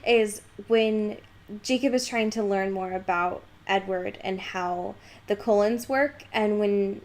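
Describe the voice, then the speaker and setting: A woman's voice: mid-range voice, slow, a little mellow. A woman co-hosting a podcast, discussing a book in a casual, slangy, emphatic way with exasperated asides. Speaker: podcast co-host.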